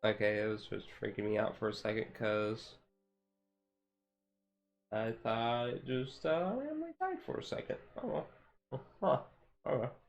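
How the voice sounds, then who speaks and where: A man streamer chatting casually in a chill, low-key livestream, rambling along with hesitant pauses. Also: animated, creaky, soft background music. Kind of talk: casual chat, ASMR-style chill livestream.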